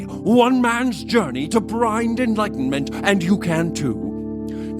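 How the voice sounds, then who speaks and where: A grandiose sea-going guru delivers old-time radio sales patter. His pitch swings widely and theatrically, and music plays behind him. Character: pompous self-styled mystic bosun hawking his book.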